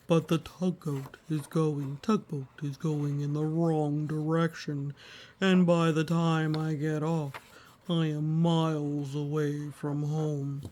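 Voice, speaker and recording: A woman reads as a worried, gloomy walrus from a picture book. He speaks very slowly and rather low, with little swing in pitch. Each misfortune gets dramatic, mournful weight. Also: level tone, dark tone, a little hiss or hum. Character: anxious, gloomy male walrus, imagining disasters. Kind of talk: storybook read-aloud character voice.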